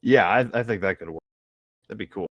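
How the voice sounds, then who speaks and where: A man's voice: on the low side, brisk, dry recording, highs cut off. A young man chatting casually as a co-host, throwing in playful, joking interjections. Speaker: co-host reacting.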